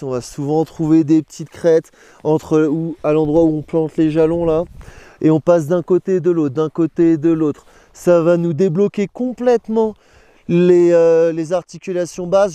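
Dark-toned man explaining ski technique outdoors. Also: fairly high pitch, clean tone, no room sound. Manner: explains step by step, in first person. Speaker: ski instructor. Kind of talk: instructional explanation. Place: outdoors on a snowy mountain slope.

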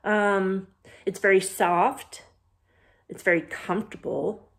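A woman in her forties hosting a storytime for young children, talking playfully with puzzled, questioning pauses as if forgetful. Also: mid-range voice, steady tone. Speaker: children's library storytime host. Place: small bedroom, indoors.

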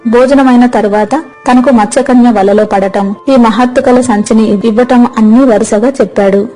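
High, mellow female storyteller. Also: steady tone, normal speed, faint music behind. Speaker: narrator. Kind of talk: scripted story narration.

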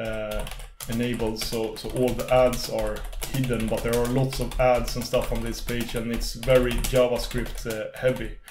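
A young man whose first language is Swedish, casually talking through a screen-recorded software tutorial, rambling with many fillers and restarts. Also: mid-range voice, reverberant, background noise. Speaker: tech video host.

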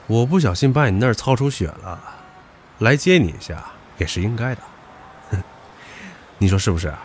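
An actor playing a brash, hot-tempered young subordinate who taunts crudely in an audio drama. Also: male voice, on the low side, animated, moderate pace, a little hiss or hum, natural voice. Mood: mocking, defiant. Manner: mocking, crude, provocative. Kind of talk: modern audio drama acting.

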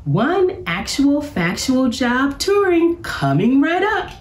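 A woman voicing a friendly, eager male bear professor from a storybook, with drawn-out questioning delivery. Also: high voice, big pitch swings, voice pushed up, exaggerated voice. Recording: roomy, echoing indoor sound.

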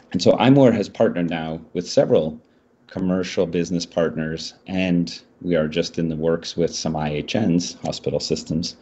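A middle-aged man giving a business pitch on a video call, measured, with fillers and restarts. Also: warm tone. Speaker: startup presenter. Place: indoor room. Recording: muffled video call audio.

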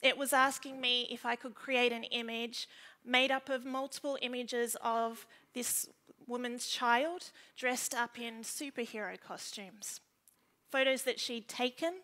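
An Australian woman in her thirties giving an earnest, measured personal talk on a stage through a headset mic. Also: a little high, pushed and bright. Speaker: keynote speaker, photographic digital artist. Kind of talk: prepared stage presentation, personal storytelling. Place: large indoor theatre stage.

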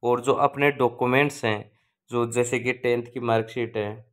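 Clear-voiced man giving an informal update. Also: medium pitch, fairly even intonation, normal speed. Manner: repetitive, filler-heavy phrasing. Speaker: video host giving exam result updates. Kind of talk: informal explainer narration for online viewers.

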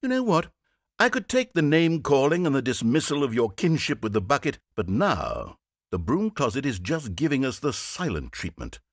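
Pompous, swooping game narrator. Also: male voice, mid-range voice, wildly swooping pitch, moderate pace, dry recording, studio quality. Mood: exasperated. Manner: pompous, articulate, emphatic. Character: fussy, condescending, melodramatic male narrator of a comedic game. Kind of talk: theatrical storybook-style narration.

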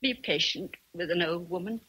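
A woman's voice: lowish voice, normal speed, sharp tone, slightly rough, poor audio. An actress with an English accent playing a kindly, motherly old housekeeper in a radio drama, tender and grave. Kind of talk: BBC radio drama acting.

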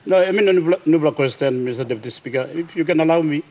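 A middle-aged Papua New Guinean man, a governor asking a question in a parliament chamber, speaking haltingly with many fillers and restarts. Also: fairly high pitch, darkish tone. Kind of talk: parliamentary question time, mixing Tok Pisin. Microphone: desk mic behind a plexiglass screen.

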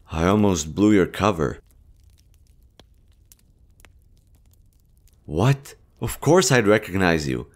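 A man acting a kind, earnest young army general in a romantic audio roleplay, hushed, halting and emotive. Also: deep voice, exaggerated voice. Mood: relieved, excited.

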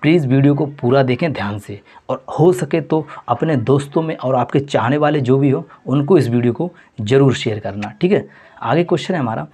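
A man with a husky, slightly breathy voice works through a mock test as a teacher. His pitch sits in an ordinary middle range. He repeats the answers and keeps calling his viewers friends. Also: darkish tone. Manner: repetitive, addresses viewers as friends. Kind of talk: exam question walkthrough.

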